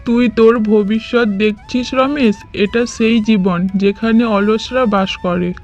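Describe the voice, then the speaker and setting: A woman's voice: on the high side, steady tone, takes it slowly, highs cut off. A woman voicing a stern older village woman who scolds and warns in a cartoon moral story. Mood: ominous warning. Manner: scolding, admonishing. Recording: music behind.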